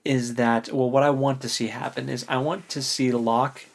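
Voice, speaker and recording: A podcast co-host chatting casually about books, recapping in an animated way with relaxed slang. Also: mid-range voice, moderate pace, gravelly. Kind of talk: casual book discussion chat.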